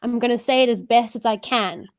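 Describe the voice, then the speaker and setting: A woman with an English accent hosting an interview over a video call, chatty and quick to laugh at herself. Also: fairly high pitch, no echo. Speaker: show host. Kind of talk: casual interview chat.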